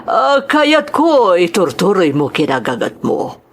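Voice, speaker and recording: A woman's voice: lowish voice, sing-song, a little slow, a little hiss or hum. A woman playing an approving, businesslike bar madam in an audio drama.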